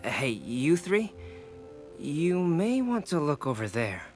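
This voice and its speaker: A man voicing a calm, composed, aloof young man in an anime-style game. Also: fairly high pitch, slow pace, soft background music, exaggerated voice. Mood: calm, matter-of-fact.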